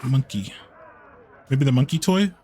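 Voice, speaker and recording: A man chatting casually on a gameplay livestream in a halting way, often repeating his phrases. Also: medium pitch, big pitch swings, a little mellow. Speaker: live streamer, VTuber. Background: game music.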